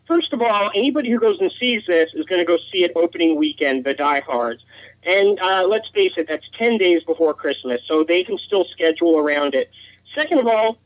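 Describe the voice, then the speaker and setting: A man's voice: high-pitched, lively intonation, fairly quick, no echo, dull audio. A man speaking as a podcast guest, arguing his opinions emphatically in a casual conversational style.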